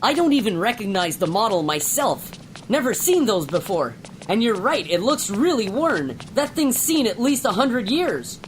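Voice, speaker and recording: A woman's voice: mid-range voice, sing-song, moderate pace, slight background noise, lightly acted, rough recording. A woman playing a complaining male starship captain, casual and slangy, in an amateur sci-fi radio play. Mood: puzzled, resigned.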